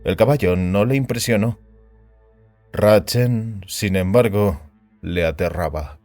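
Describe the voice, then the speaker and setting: A man's voice: on the low side, slow pace, darkish tone, no echo, faint music behind. A man reading a science fiction story aloud as a scripted, dramatic narration.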